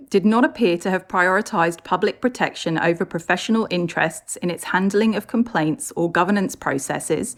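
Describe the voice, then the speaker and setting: An Australian woman reading a scripted news report in a measured, formal way. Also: fairly low pitch. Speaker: guest news reader.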